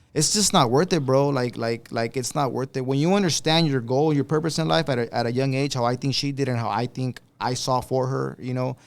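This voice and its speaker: A middle-aged man speaks earnestly and easily, peppering his talk with "bro." His voice sits in an average pitch range for a man, and he talks in a relaxed, conversational way. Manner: earnest, laid-back, says bro a lot. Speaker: father and manager of a guest. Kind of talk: casual podcast chat.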